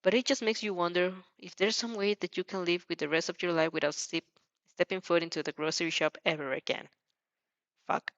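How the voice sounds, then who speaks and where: A woman narrating fan fiction in the second person, expressive and dramatic, now and then stumbling and rereading a line. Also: on the low side, brisk, dry recording. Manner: expressive, stumbles over words and rereads lines. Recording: dull, muffled lo-fi sound.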